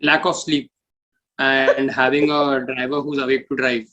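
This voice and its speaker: A man talks as a guest in a casual interview. His voice is medium in pitch, and he speaks informally, restating phrases to press his point. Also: flat delivery, measured pace, bright, forceful tone, lo-fi sound. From India. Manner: informal, repeats phrases for emphasis. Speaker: metal musician, interview guest. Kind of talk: casual chat.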